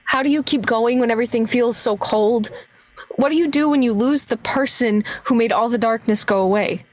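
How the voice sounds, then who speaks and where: A woman's voice: fairly high pitch, moderate pace, close to an everyday voice. A woman playing a weary, dry-witted male small-town detective in an amateur radio play, speaking in a hesitant, sardonic way. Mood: grieving, lost.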